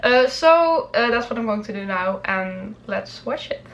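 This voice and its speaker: Woman, casual reaction vlogger, medium pitch, gushing fan enthusiasm. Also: lively intonation, measured pace, loud music behind the voice. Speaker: host of a reaction video.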